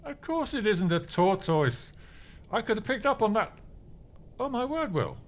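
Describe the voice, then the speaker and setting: Pompous, high-pitched blustering clerk. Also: male voice, big pitch swings, a little slow. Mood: exasperated. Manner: blustering, indignant, theatrical. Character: pompous, grumpy, melodramatic male bureau clerk, martial-arts dabbler. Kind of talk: comedy audio drama acting.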